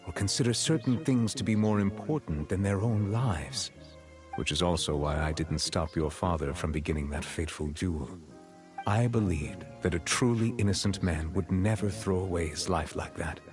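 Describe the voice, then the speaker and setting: A man voices a solemn chief justice for a video game. The voice is very deep, grave and formal. The delivery is measured and reserved, with faint music underneath. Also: close to an everyday voice.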